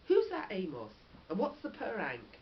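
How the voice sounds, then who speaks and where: A woman's voice: mid-range voice, wildly swooping pitch, moderate pace. A woman reading a storybook, voicing a sulky, sarcastic young girl who scolds her father in an indignant, emphatic way. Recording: dull lo-fi audio with faint noise.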